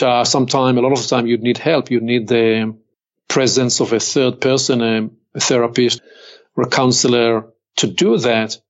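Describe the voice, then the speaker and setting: Older Hebrew-accented male consultant, unhurried and reflective, on a slightly muffled remote line. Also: medium pitch. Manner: measured, deliberate, slows to stress points. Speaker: podcast guest, executive consultant. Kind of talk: reflective interview talk.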